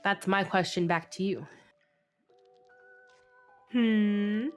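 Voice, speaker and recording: A woman's voice: mid-range voice, drawn-out words, soft background music. A woman hosting a live drawing stream, chatting casually, laughing often and swearing freely, a bit sniffly from a head cold. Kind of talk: casual chat while drawing.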